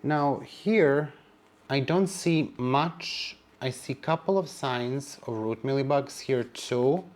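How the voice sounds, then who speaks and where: Man, Serbian native, mid-pitched, chatty vlogger with a wry, sassy streak. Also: slow pace. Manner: chatty and wry, sassy asides, calls plants "her" and "girl". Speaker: plant vlogger. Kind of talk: casual vlog narration while handling plants.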